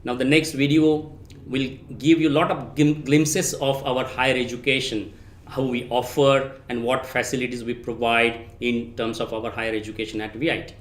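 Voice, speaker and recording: A man's voice: medium pitch, level tone, normal speed. Echoey male academic presenter. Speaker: academic dean addressing prospective students. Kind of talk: welcome presentation. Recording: roomy, echoing sound.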